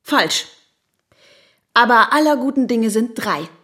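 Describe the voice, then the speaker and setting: A woman's voice: a little high, lively intonation, slow, a bit bright. A woman playing a sarcastic, teasing wife who needles her failed-writer husband in German radio play dialogue. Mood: exasperated, insistent. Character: sharp-tongued, mocking wife of a failed writer.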